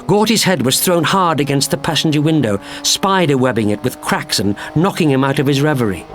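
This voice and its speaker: A man's voice: a little high, animated, fairly quick, soft background music. A man reading a thriller story as a dramatic, scripted audiobook narration.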